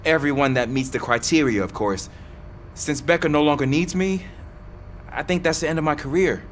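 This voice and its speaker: An actor playing a dejected aspiring police officer in a video game, resigned and self-deprecating. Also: male voice, a little high, normal speed, a little hiss or hum, natural voice. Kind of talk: video game cutscene acting.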